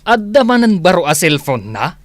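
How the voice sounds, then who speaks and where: A man's voice: sits high, big pitch swings, moderate pace. A man acting a stern, hardworking farmer and older brother in a radio drama, grumbling with an Ilocano accent. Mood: disapproving.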